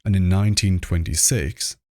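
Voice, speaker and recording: A man reading classic horror fiction aloud as scripted narration, in a measured, deliberate manner, close to the microphone. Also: low-pitched, fairly even intonation, a little mellow, no echo. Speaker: audiobook reader.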